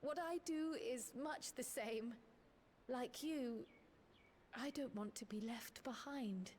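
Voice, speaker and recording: Polite, highish-voiced young scholar heroine. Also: female voice, slow, a little mellow, a little hiss or hum. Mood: earnest, resolute. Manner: courteous, formal, articulate, earnest. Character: polite, determined young female scholar turned magical fighter. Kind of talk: video game cutscene acting.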